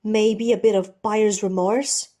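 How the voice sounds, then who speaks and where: A woman's voice: medium pitch, fairly even intonation, slow pace, dark tone, close to an everyday voice. A woman voicing a fierce, wounded male pirate captain with a bitter, taunting manner in a storybook reading.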